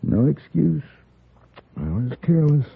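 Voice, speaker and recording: An actor playing a tough, terse gunslinger in an old-time radio western. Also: male voice, on the high side, very animated, unhurried, vocal fry, exaggerated voice. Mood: groggy, rueful. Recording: dull, hissy old radio recording.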